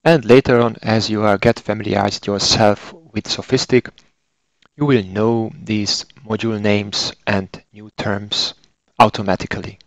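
Low-voiced Hungarian-accented man presenting training. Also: steady tone, measured pace, dry recording, rough recording. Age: 40s. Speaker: software trainer and consultant engineer. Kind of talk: scripted instructional narration.

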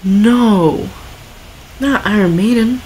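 A woman's voice: mid-range voice, slow pace, a little mellow, slight background noise, poor audio. A woman giving casual, offhand reaction commentary and reading text aloud as a video host.

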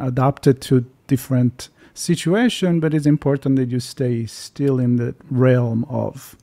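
A man's voice: medium pitch, creaky, dark tone. A middle-aged Italian man with an Italian accent, hosting a podcast and asking rambling, friendly questions. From Italy. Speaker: podcast host. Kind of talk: casual interview talk. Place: home studio room. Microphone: studio mic on arm.

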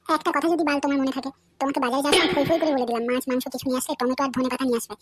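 A woman's voice: high voice, fairly even intonation, rapid-fire, close to an everyday voice. A woman voicing a nagging, short-tempered housewife in a comic cartoon, scolding and insisting. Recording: noisy homemade recording.

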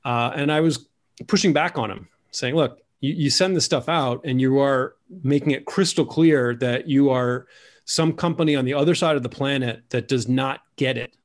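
A man's voice: medium pitch, normal speed. A man in his forties, a guest author, telling stories animatedly on a video call with lots of gesturing and restarts. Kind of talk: video call conversation, storytelling. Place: room at home.

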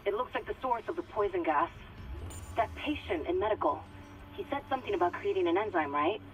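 An actress playing an alert, practical crew technician in a video game, speaking over a radio link. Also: female voice, medium pitch, lively intonation, brisk, faint music behind. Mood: concerned, focused. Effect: radio comms filter. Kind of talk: naturalistic video game acting. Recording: dull radio link, faint noise.

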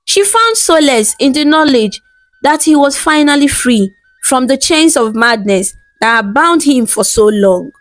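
High-pitched woman narrating a folktale slowly. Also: fairly bright tone, dry recording. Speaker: storyteller narrator. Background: background music.

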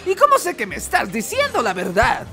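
A man's voice: extremely high pitch, wildly swooping pitch, brisk, vocal fry, sharp tone, exaggerated voice. Man acting a wary cartoon bartender over music. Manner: wary, dismissive. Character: skeptical, reluctant male bartender. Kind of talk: cartoon dub.

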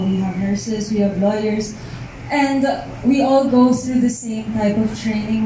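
A woman's voice: fairly high pitch, dark tone. A young woman raised in the States, a pageant candidate answering press questions into a handheld mic in a large hall, poised and earnest. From United States. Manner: poised, earnest, mixes in Filipino words. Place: large event hall indoors. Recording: echoey hall, hum of air conditioning.

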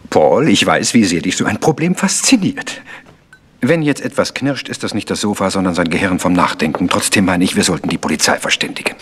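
Quick, animated archaeologist. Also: male voice, deep voice, a little hiss or hum. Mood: doubtful, insistent. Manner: explanatory, brisk. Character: keen, reasonable archaeologist, gently teasing. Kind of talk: German radio crime drama acting. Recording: echoey, reverberant space.

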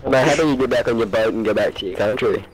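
A man's voice: on the low side, fast, crowd noise, low-quality recording. A man mocking other players in online game chat with a smug tone.

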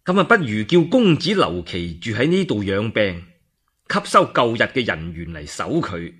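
A man voicing a respectful, deliberate adviser counselling his lord in a Cantonese radio story. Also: mid-range voice, fairly bright tone, slightly rough, voice pushed down, exaggerated voice.

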